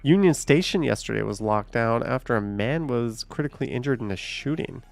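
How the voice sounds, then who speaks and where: Male stream host, mid-pitched with a gravelly edge, loose off-the-cuff commentary. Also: lively intonation, brisk. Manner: chatty, thinks aloud, swears casually. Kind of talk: casual live stream commentary.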